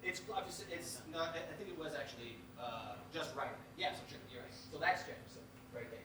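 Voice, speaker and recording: A man speaks from well off the microphone in an echoey, noisy stage space. His voice is high for a man. He talks casually and tentatively, in halting bits. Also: expressive, far from the mic. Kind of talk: casual off-the-cuff chat.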